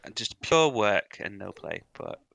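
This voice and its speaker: A man's voice: on the low side, very animated, a little slow, gravelly, a bit bright, no echo. A man co-hosting a casual podcast, teasing his host with dry banter. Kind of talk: casual chat. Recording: dry close-mic sound.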